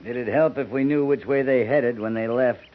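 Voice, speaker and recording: An actor playing a stern cavalry captain who talks in clipped, commanding lines. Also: male voice, medium pitch, moderate pace, close to an everyday voice. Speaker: lead character. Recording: muffled 1950s radio broadcast with hiss.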